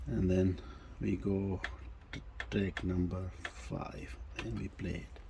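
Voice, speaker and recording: A man giving a casual, hands-on product demonstration, explaining things informally in a halting, hesitant way. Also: low voice, fairly even intonation, gravelly, background noise. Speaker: presenter of a vintage audio gear demo.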